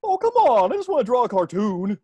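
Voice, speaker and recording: A performer voicing a dim-witted, goofy male cartoon starfish sidekick with a husky, exclamatory delivery at a table read. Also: medium pitch, sing-song, a little fast, dark tone, no echo, dull audio. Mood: playful.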